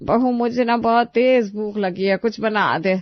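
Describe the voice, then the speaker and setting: A woman's voice: medium pitch, measured pace, no echo, highs cut off, close to an everyday voice. A woman voicing a demanding, greedy middle-aged mother-in-law who nags and taunts her daughter-in-law. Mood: bossy. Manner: nagging, sarcastic, bossy.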